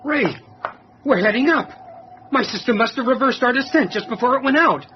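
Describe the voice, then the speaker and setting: An actor playing an excitable, brisk submarine officer in a radio drama, urgent and loud in his reporting. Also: extremely high pitch. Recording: dull, faintly noisy radio-drama recording.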